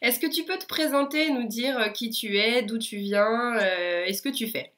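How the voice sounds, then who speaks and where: A woman's voice: a little high, moderate pace, fairly bright tone. A French woman hosting a casual interview with friendly, upbeat questions while she has a cold. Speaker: interviewer, host.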